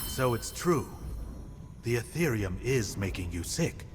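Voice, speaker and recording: An actor playing a concerned, questioning ally of the heroes in a video game cutscene. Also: male voice, on the low side, sing-song, a little slow. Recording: long hall reverb, music underneath.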